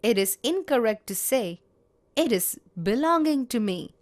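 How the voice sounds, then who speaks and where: A woman teaching grammar, reading example sentences in a measured, deliberate way. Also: on the high side, expressive. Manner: measured, spells out each example. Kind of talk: slow, deliberate grammar lesson.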